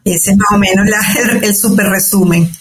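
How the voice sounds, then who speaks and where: A woman's voice: mid-range voice, steady tone, normal speed, reverberant. An older woman from Venezuela with a Venezuelan Spanish accent, a guest being interviewed, speaking thoughtfully with fillers and restarts. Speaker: guest, astrologer. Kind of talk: conversational interview.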